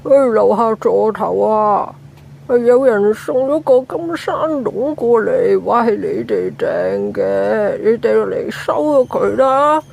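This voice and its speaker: An actress playing a flustered, bossy building security guard in a radio drama, with a nagging Hong Kong way of speaking. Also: female voice, medium pitch, animated, slow pace, vocal fry, a little mellow, slight background noise, exaggerated voice. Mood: exasperated, reporting. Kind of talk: Cantonese radio drama acting.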